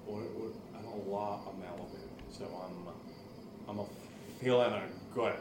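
A man's voice: lowish voice, fairly even intonation, normal speed, close to an everyday voice. A man playing a tipsy, dismissive podcast co-host who rambles and muddles words in an audio drama. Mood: tipsy, muddled. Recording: roomy, faint background noise.

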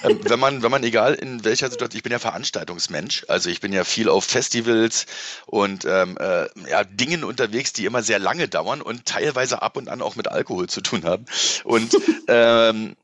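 Dull lo-fi call audio, dry, guy chatting casually as a guest, eager, mid pitch. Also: moderate pace, a bit bright, no echo. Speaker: podcast guest, event presenter and social media host. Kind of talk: casual interview chat. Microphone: remote call from abroad.

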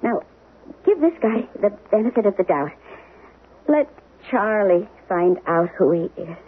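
A woman's voice: medium pitch, animated, a little slow, natural voice. An actress in a 1970s radio drama playing an anxious woman, pleading and reasoning with urgency and a slight stammer. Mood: worried, pleading. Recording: tinny, noisy 1970s radio recording.

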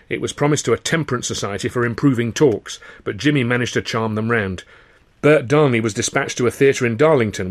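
A man narrating his own comic novel as an audiobook, in a wry storytelling manner. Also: mid-range voice. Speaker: author and narrator.

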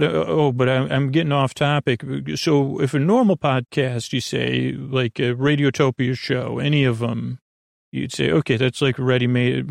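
A man hosting a bedtime podcast, rambling in a halting, self-deprecating way, often stammering and repeating himself as he wanders off on tangents. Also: medium pitch, creaky, dry recording, studio quality. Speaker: podcast host.

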